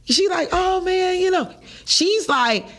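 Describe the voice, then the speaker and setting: Man, Detroit accent, high voice, lively podcast co-host. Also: slow pace. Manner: animated, chatty, laughs often. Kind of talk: casual chat.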